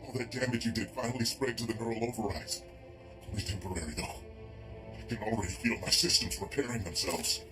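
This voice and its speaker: Man acting a very deep-voiced tormented cyborg agent. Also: fairly quick, natural voice. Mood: grim, urgent. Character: tormented cyborg male agent fighting mind control. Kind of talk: video game dramatic acting. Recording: music behind the voice.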